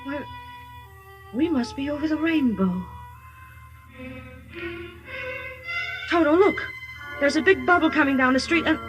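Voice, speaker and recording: A woman playing an earnest, trusting, excitable Kansas farm girl in a 1950s radio drama, with wide-eyed exclamations and hesitations. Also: high voice, moderate pace, highs cut off. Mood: awed. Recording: vintage radio broadcast with orchestral music.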